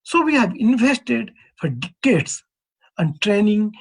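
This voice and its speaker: A man in his fifties from East Nepal with a Nepali accent, giving a measured, earnest conference presentation as an earthquake engineer. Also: high-pitched, sing-song, vocal fry, slightly rough. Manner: measured, earnest, poses questions to the audience.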